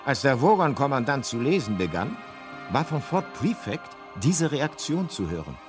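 An actor playing a matter-of-fact talking guidebook, narrating a radio drama in a measured, deadpan way. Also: male voice, mid-range voice, close to an everyday voice. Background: clear music.

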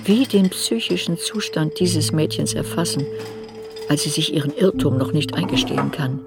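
A woman's voice: low voice, moderate pace, gravelly, over loud music. An actress playing an adult woman memoirist who searches her girlhood unsparingly, in literary radio play narration. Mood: unsparing, searching.

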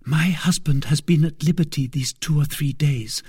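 A man's voice: fairly high pitch, normal speed, warm tone, breathy edge, dry recording, clean studio sound, pitched above the performer's own voice. A man voicing a devoted young lady-in-waiting who speaks fervently and earnestly. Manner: fervent, earnest, at times whispered.

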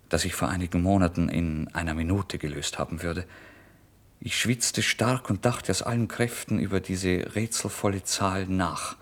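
An actor playing a starving, desperate young writer in a theatrical, volatile radio play monologue. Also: male voice, sits low. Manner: theatrical, volatile, swinging from hushed to loud outbursts. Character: starving, desperate young male writer, feverish and self-deceiving. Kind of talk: dramatic literary monologue, radio play narration.

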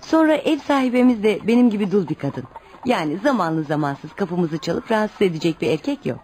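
Woman acting poor religious widow mom in a radio drama, super expressive, protective n earnest, kinda anxious. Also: mid-range voice, a little fast, a little hiss or hum, lightly acted. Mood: explaining, cautioning. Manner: earnest, instructive.

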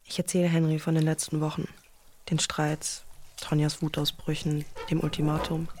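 A young actress playing a troubled, apologetic young woman in a naturalistic audio drama, speaking in a hesitant, halting way. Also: low voice, monotone, a little mellow. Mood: sad, confused. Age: young adult. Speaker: lead actress and narrator.

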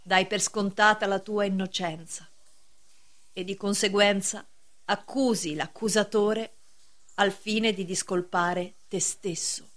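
Severe female preacher, very slow, declamatory, medium pitch, radio drama. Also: fairly even intonation, fairly bright tone. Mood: grave, reproving. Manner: measured, declamatory, sermonizing. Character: solemn, severe female preacher, cryptic gatekeeper of justice. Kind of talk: theatrical radio drama acting.

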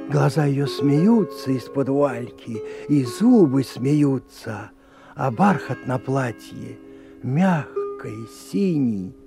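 An actor playing an old village grandfather who tells folk tales in a slow, sing-song way, in a radio play. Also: medium pitch, vocal fry. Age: older. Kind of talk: 1980s radio play storytelling.

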